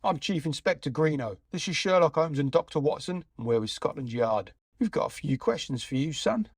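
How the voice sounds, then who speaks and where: An actor playing a brisk, authoritative chief inspector in an audio play, firm and official. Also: male voice, a little high, lively intonation, husky, no room sound, clean studio sound.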